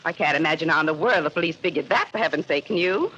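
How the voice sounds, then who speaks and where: A sugary, sinister neighbor. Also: female voice, sits low, lively intonation, fast, bright, forceful tone. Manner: chattering, falsely sweet. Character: sinister gossipy neighbor woman, sugary and menacing. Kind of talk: 1940s radio acting. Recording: muffled old radio recording with faint hiss.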